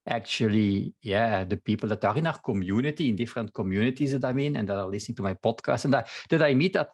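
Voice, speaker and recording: A man's voice: medium pitch, fast, darkish tone, a little hiss or hum. A man from Belgium, a native Dutch speaker with a Belgian accent, chatting earnestly as a marketer guest on a podcast. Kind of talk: casual interview chat.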